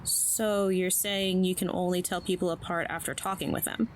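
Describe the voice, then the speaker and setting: Audio drama role: woman as an awkward teen girl, lowish voice, dry sarcasm, faint noise behind. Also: fairly even intonation, normal speed, natural voice. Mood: wry, deadpan. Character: wry, awkward schoolgirl, teenage. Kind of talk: naturalistic audio drama acting.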